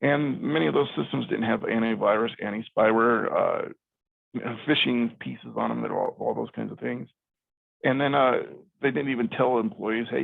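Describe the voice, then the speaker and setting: Gravelly middle-aged man on a call. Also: medium pitch, no room sound. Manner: conversational, many ums and restarts. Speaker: IT company CEO giving a cybersecurity talk. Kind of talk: webinar presentation. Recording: phone-like dull sound.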